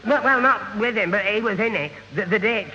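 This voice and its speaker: Shrill, stammering young nitwit. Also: male voice, a little fast, bright, forceful tone. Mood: nervous, muddled. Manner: stammering, muddled, repeating words. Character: dim, bumbling, nervous young man, accident-prone. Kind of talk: 1970s radio sitcom acting. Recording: muffled old radio, hiss, hall reverb.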